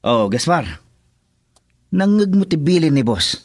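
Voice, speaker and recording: A man's voice: a little high, very animated, measured pace, no room sound, clean studio sound, full character voice. An actor playing an eager, deferential henchman in a radio drama, with a Filipino accent. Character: eager, loyal male henchman of a crime boss.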